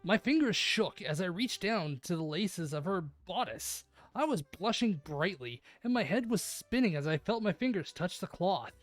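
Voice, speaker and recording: A woman streaming a visual novel read-aloud, mixing expressive narration with casual, playful chat. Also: on the low side, normal speed.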